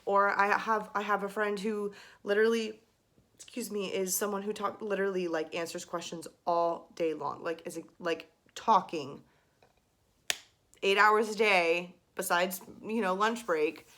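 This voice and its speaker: A chatty young woman in a medium pitch coaches viewers through vocal warm-ups. She talks loosely and fills pauses with "like" and "um." A faint graveliness sits in her lower notes. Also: steady tone. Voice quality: slight gravel in her low register. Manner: chatty, filler-heavy, demonstrating exercises. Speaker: voice teacher. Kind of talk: casual video lesson.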